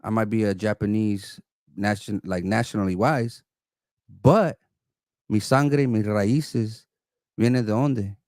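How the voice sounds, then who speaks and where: A man's voice: lowish voice, steady tone, slow pace, darkish tone, no echo, studio quality. A man from California arguing earnestly on a live stream from an apartment, repeating phrases and talking over the other man. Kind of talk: heated argument. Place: indoors, an apartment.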